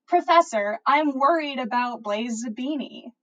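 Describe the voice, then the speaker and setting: An earnest teenage witch from a storybook, played by a woman. Her voice is high and plaintive, and she speaks with theatrical drama. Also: measured pace, fairly bright tone, low-quality recording. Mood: worried.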